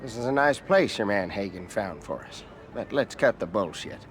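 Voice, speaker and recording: An actor playing an arrogant, condescending American senator in a video game cutscene, blunt and haughty in manner. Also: male voice, lowish voice, lively intonation, normal speed, soft background music, lightly acted. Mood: contemptuous, blunt.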